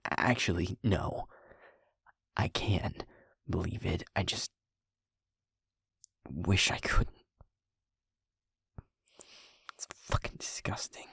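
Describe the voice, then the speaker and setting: A man playing a sweet, shaken young werewolf boyfriend in an intimate audio roleplay, halting and stammering, with a breathy, husky voice. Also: low voice, dry recording, studio quality, exaggerated voice. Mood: disbelieving, bitter. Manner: halting, hushed, stammering, sighing.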